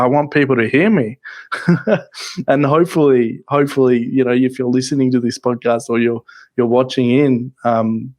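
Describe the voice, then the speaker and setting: Soft-spoken man close on the mic. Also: medium pitch. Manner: soft-spoken, halting with many restarts. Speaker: podcasting guest. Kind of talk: casual interview chat. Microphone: close microphone.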